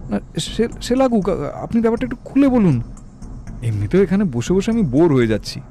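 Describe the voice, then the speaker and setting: Man as curious puzzled stranger, mellow tone, animated intonation, stammering questions, cartoon drama. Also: high voice, quick pace, faint music behind. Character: puzzled, curious male visitor sheltering from rain. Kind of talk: cartoon story voice acting.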